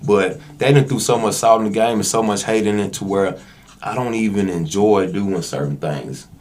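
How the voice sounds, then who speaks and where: A man with a fairly low voice talks casually as an interview guest. He is candid and emphatic, using loose slang in an easy conversational podcast style. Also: moderate pace, a little mellow. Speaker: interview guest, actor and independent filmmaker.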